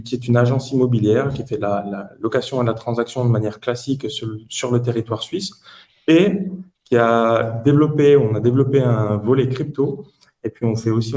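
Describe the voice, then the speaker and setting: A man's voice: mid-range voice, steady tone, a little fast, mellow. A man in his late twenties from southern France, giving conversational answers as an entrepreneur guest on a podcast. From Toulouse, southern France.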